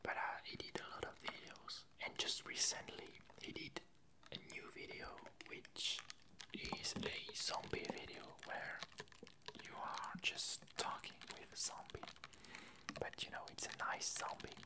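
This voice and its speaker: A woman whispering a casual ASMR update, talking in halting, softly hesitant phrases. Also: rough recording. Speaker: ASMR video creator.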